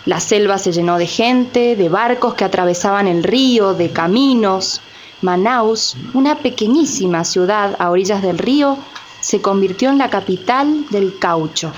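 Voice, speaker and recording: A woman's voice: mid-range voice, unhurried. A woman reading scripted storytelling narration for a radio drama. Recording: dull, slightly noisy sound.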